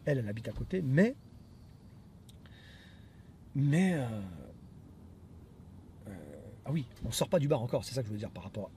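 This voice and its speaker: A man telling a story in casual conversation, animated and full of fillers and restarts. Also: medium pitch, normal speed, pauses often. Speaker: vlogger telling a dating story. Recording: noisy street traffic behind.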